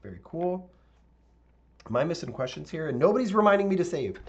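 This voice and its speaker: A male architect teaching a live software tutorial, talking casually with viewers and thinking aloud with fillers; his voice is a little raspy. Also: on the high side, sing-song, a little fast, muffled recording. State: slightly raspy voice, clearing throat.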